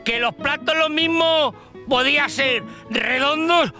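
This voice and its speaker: A man's voice: very high-pitched, takes it slowly, sharp tone, faint music behind, voice pushed up, exaggerated voice. A man voicing a hungry, complaining peasant squire in a plaintive, pleading way.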